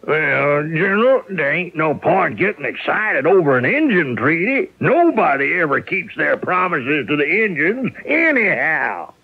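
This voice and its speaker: An actor playing a cynical, folksy frontier army officer with a gravelly voice in a radio drama. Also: male voice, on the high side, big pitch swings, measured pace, pauses often, heavily acted. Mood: dismissive. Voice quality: raspy, gravelly. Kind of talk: old-time radio drama acting. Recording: dull lo-fi radio recording.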